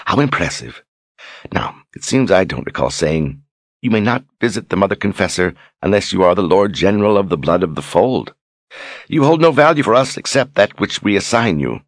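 A haughty older sorceress drips contempt with each phrase, as a man puts her on. The voice sits well above his own and carries a husky edge. It is a dry, close storybook reading. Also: low voice, big pitch swings, moderate pace, dry recording, pitched above the performer's own voice. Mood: scornful. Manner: mocking, condescending. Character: haughty older female sorceress, sharp-tongued.

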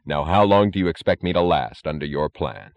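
A man voicing a calm, blunt industrialist who speaks in a cold, matter-of-fact, logical way. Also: a bass voice, brisk. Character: calm, blunt, defiant male steel industrialist, coldly logical.

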